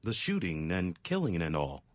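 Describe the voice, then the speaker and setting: A man's voice: low voice, huge pitch swings, normal speed, dry recording, studio quality. A man playing a thoughtful, knowing, well-traveled Easterner in a dramatic story reading.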